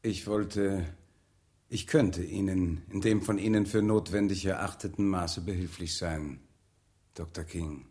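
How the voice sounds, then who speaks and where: An actor playing a reserved, courteous American president in a radio drama, speaking in a formal, diplomatic way. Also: male voice, low voice, steady tone, unhurried, darkish tone. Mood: polite, regretful.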